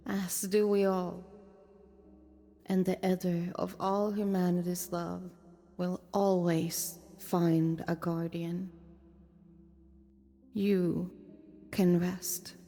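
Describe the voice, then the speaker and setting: Solemn war goddess in echoing hall. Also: female voice, fairly low pitch, fairly even intonation, drawn-out words, long pauses, warm tone, exaggerated voice. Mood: sorrowful, gentle. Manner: formal, commanding. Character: stern, dutiful goddess of war, resolute and solemn. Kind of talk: visual novel voice acting. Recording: hall reverb with music underneath.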